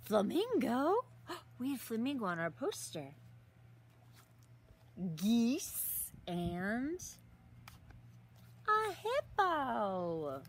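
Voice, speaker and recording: A woman in her thirties reading a picture book aloud to young children outdoors, expressively, with exaggerated gasps and drawn-out words. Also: fairly high pitch, huge pitch swings. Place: outdoors in a leafy garden. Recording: outdoor recording with some ambient noise.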